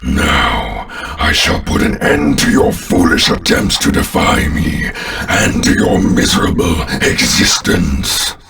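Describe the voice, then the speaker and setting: Abyssally deep, gravelly alien overlord. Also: male voice, fairly bright tone, far from the mic, heavily acted. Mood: wrathful, menacing. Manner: slow, grandiose, imperious. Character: ancient alien overlord villain, commanding, contemptuous, menacing. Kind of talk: theatrical video game villain acting.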